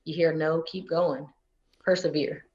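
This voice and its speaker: A chatty woman from North Carolina with a soft Southern accent, talking through an interview in a fairly low voice. She keeps the conversation loose with "you know" and "like" and breaks into laughs. The audio sounds a little muffled and lo-fi. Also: steady tone, a little slow. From coastal North Carolina. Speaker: guest, space agency public affairs officer. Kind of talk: casual interview chat.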